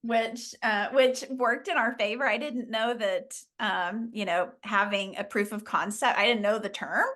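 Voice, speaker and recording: A middle-aged woman from Oklahoma, a business founder chatting as a guest, telling her story with quick laughs. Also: mid-range voice, steady tone, fairly bright tone. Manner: chatty, laughs often, upbeat. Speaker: franchise founder, interview guest. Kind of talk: casual storytelling.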